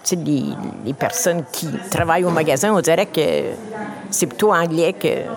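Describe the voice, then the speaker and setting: A woman's voice: low voice, normal speed. Woman, vox pop, chatty, crowd behind. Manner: casual, conversational. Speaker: street interviewee. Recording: street interview with crowd noise.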